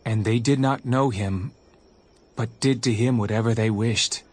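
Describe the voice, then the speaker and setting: An actor playing Jesus as a solemn, authoritative teacher, speaking in a measured way in a dramatized scripture reading. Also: male voice, mid-range voice, steady tone, a little mellow, natural voice. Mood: solemn, calm. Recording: music behind the voice.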